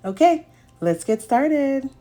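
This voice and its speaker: A woman's voice: high voice, very animated, takes it slowly, mellow. A woman hosting a children's read-aloud, telling a picture-book story expressively and speaking directly to young listeners.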